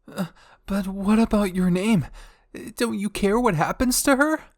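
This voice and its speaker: A man voicing a frightened but defiant young royal woman, halting and emotional, in dramatic fan fiction roleplay. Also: high-pitched, dry recording, clean studio sound, pitched above the performer's own voice. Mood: anxious, questioning.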